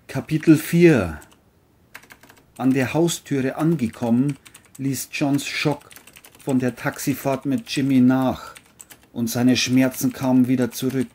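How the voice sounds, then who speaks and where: A man narrates a scripted crime audio story. His voice is mid-pitched for the reading and has a husky edge. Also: darkish tone.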